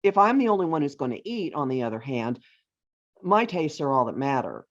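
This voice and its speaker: A middle-aged or older woman reading her own humorous essay aloud with deliberate phrasing and wry emphasis, as an author on a video call. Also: low voice, sing-song. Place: virtual author talk over video call. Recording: dull, lo-fi online call audio.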